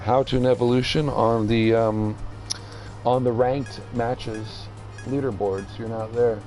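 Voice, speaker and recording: A man gives casual, rambling commentary as he streams a game. His delivery is slow and halting, as if thinking aloud, and his tone is a little soft-edged. Faint game music plays behind him. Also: medium pitch, steady tone. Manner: halting, thinking aloud while reading menus.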